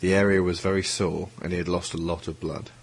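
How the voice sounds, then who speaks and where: A man's voice: deep voice, flat delivery, brisk, breathy edge. A man reading scripted third-person story narration for an audio drama. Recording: music under the voice.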